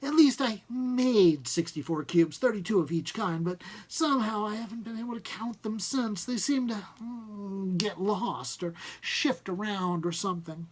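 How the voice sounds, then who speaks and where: A man's voice: sits high, a little slow, hardly any pauses, slightly rough, voice pushed up. A man voicing a small, chubby, shy but eager male inventor in a story reading, stammering modestly as he speaks. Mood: embarrassed, puzzled. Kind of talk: audiobook character dialogue.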